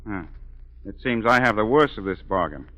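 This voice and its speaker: A man acting a proud, suave opera singer in a 1940s radio drama, smooth, formal and theatrical, with dry sarcasm. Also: lowish voice, lively intonation, normal speed, fairly bright tone, rough recording. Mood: suave, needling. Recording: 1943 radio broadcast with music.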